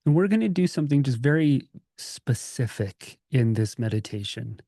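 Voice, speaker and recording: A man leading a guided meditation as a teacher, speaking in a gentle, calming, informal way with pauses. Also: mid-range voice, no echo, studio quality. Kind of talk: guided meditation, conversational and unscripted.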